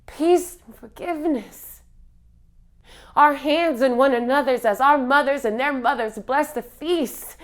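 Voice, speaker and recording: A woman performing a theatrical spoken-word monologue in a solemn, impassioned manner, with dramatic pauses. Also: sits high.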